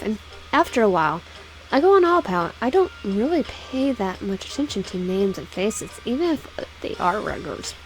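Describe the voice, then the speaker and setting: A woman's voice: mid-range voice, poor audio. A woman casually reading a visual novel game aloud with personal asides, often stumbling over words and repeating phrases. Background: background music.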